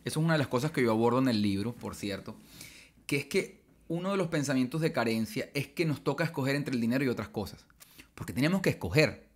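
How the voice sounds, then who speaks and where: A man's voice: medium pitch, expressive, fast talker. A man, talking casually as a podcast guest at a close microphone in a small room. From Colombia. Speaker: podcast guest, speaker and author. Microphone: podcast microphone on arm, close.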